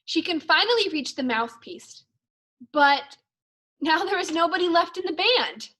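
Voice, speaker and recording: A woman teacher reading a storybook aloud for young children, expressively and in measured phrases. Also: very high-pitched, lively intonation, bright, forceful tone. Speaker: second grade teacher.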